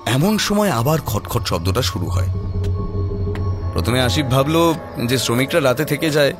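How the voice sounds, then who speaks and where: A man tells a horror story as its narrator. His voice sits at a middling pitch. The delivery is scripted and dramatic, with music clearly playing underneath. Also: expressive, normal speed. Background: prominent background music.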